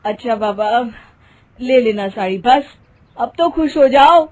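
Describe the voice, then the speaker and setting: A woman's voice: on the high side, lively intonation, slow pace. A woman voicing a patient, gullible young husband in a moral story, exasperated but soothing and indulgent. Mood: giving in. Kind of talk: acted Hindi moral-story dialogue.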